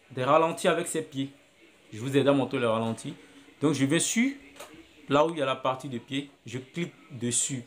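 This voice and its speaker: A man's voice: mid-range voice, a bit bright, a little hiss or hum. Man walking through an app tutorial. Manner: step-by-step, repetitive, thinking aloud. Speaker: video editing instructor. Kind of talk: screen-recorded app tutorial.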